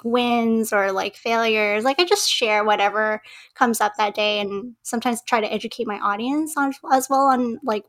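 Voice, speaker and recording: A woman with a soft, girlish voice, speaking as a podcast guest in casual chat, hesitant with many fillers and restarts and frequent giggles. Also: a little high. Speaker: podcast guest, web designer.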